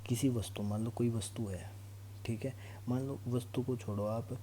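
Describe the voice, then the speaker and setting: A man's voice: mid-range voice, fairly even intonation, normal speed, dark tone. A man teaching an informal lesson, explaining in an instructional way and often checking that students follow. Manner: instructional, repeatedly checks understanding. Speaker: physics teacher for exam students. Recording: clear background noise with hum.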